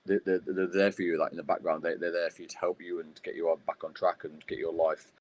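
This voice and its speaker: A man answering podcast interview questions as a guest, speaking haltingly with many fillers and restarts. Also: sits low, flat delivery. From United Kingdom. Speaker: guest, wheelchair rugby player.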